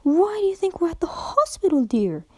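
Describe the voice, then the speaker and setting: A refined, polite pony lady with a raised, careful voice, as a woman reads her. Her warm tone sits in a dry, close storybook recording. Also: extremely high pitch, big pitch swings, moderate pace, no room sound, pitched above the performer's own voice. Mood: polite concern. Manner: polite, concerned.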